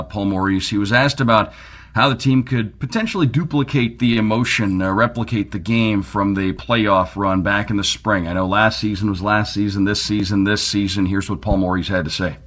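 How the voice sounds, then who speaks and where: Low-pitched male sports radio host. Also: fairly bright tone. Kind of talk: sports radio show commentary and interviewing.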